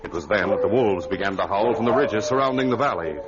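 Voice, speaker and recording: A man's voice: on the low side, expressive, quick pace. Dramatic, swooping male radio announcer. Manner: dramatic, emphatic delivery. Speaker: announcer and narrator. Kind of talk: old-time radio narration and ad reading. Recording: muffled vintage radio recording.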